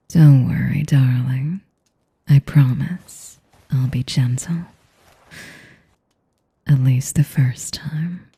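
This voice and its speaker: Woman acting a breathy, very low-voiced vampire mistress. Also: dark tone, dry recording, heavily acted. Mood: predatory, coaxing. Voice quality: breathy, husky whisper with creaky low tones. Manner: slow, commanding, cooing, condescending endearments. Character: sadistic seductive female vampire mistress, possessive and condescending. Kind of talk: intimate audio roleplay, ASMR-like whispering.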